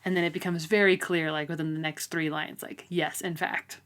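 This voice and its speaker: Female podcast co-host, lowish voice, informal and conversational book discussion. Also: flat delivery, a little fast, a bit bright.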